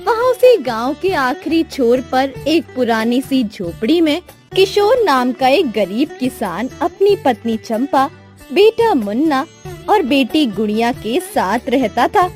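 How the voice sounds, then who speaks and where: High-pitched woman storyteller, very slow. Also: sing-song, a little mellow. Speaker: storyteller narrator. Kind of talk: scripted moral story narration. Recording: music behind the voice.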